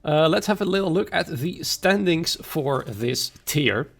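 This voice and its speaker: A man commentating a sim racing league stream in a chatty way, his voice hoarse and raspy after an illness. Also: fairly high pitch, lively intonation, a little slow, a bit bright. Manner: chatty, conversational, greets viewers by name. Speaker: race commentator and league host.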